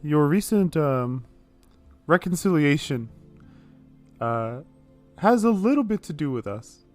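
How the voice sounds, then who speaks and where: A man's voice: fairly high pitch, expressive, slow pace, darkish tone, faint music behind. A man chatting and bantering casually as a player in a tabletop roleplaying game.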